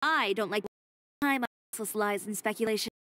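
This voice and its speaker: A woman's voice: medium pitch, a little fast, sharp tone, dry recording, close to an everyday voice. A woman playing a proud, blunt young tailor in a video game, curt and assertive. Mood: stern.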